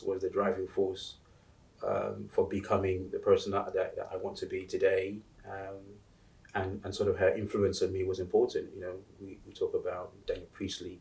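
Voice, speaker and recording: A man in his forties from Uganda, answering interview questions in a halting way, with many restarts and fillers. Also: low-pitched, creaky, a little mellow, distant mic, low-quality recording. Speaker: interview guest. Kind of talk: casual interview talk.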